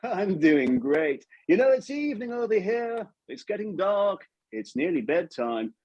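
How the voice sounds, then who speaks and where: A man voicing a jolly, genteel puppet old chap with a drawn-out, chummy delivery. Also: high-pitched, very animated, darkish tone, muffled recording, full character voice. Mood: jolly, playful. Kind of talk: live puppet show character voice.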